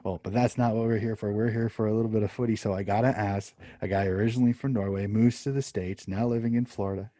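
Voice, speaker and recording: Male podcast host, low warm voice, dry close sound, upbeat, repeats himself starting questions. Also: fairly even intonation, fast talker, hardly any pauses, no room sound. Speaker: interview host. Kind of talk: podcast interview.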